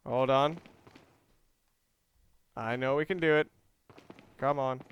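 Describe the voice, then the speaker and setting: A man's voice: mid-range voice, fairly even intonation, takes it slowly, smooth, dull audio. A man giving casual let's play commentary, rambling and thinking aloud as he explains what he is doing. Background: game music and sounds.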